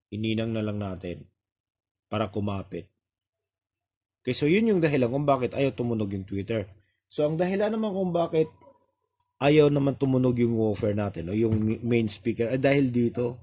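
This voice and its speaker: Mellow-voiced Filipino man explaining a repair. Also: mid-range voice. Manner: halting, explains step by step with pointing asides. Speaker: audio repair technician and reviewer. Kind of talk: casual hands-on repair tutorial.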